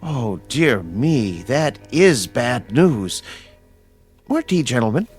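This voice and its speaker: A genteel caretaker gentleman speaks with a very animated, swooping pitch and an unhurried pace. He is fussy, courteous and unflappable, in the mannered way of classic radio drama, with music underneath. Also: breathy edge, exaggerated voice. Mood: politely concerned. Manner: courteous, mannered, old-fashioned phrasing.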